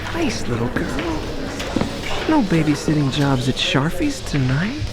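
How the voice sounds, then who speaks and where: A crime boss in a radio drama, with a low voice that swings widely in pitch. She is in her thirties, sly, taunting and menacing. Music plays behind her. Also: sing-song, a little slow. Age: 30s. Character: menacing woman pool-hall crime boss past thirty.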